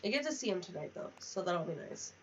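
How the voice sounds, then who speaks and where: A woman's voice: on the low side, very animated, fast, a bit bright, a little hiss or hum. Distant-sounding young woman chatting on stream. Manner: rambling, chatty asides. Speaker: livestreamer. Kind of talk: casual livestream chat while gaming. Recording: distant, slightly muffled mic.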